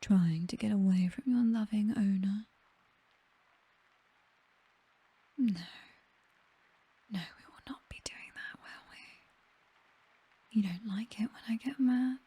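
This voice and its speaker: A woman with a British accent playing a possessive, seductive vampire mistress in a whispered, breathy ASMR roleplay, doting yet condescending and coolly menacing. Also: mid-range voice, a little slow, pauses often, mellow. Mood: softly menacing.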